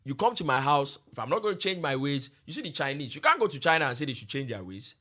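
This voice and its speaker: A man's voice: mid-range voice, sing-song, fast talker, pushed and bright. A young man co-hosting a casual podcast chat, pressing his points with emphatic repetition. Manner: emphatic, repeats points for stress. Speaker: podcast co-host.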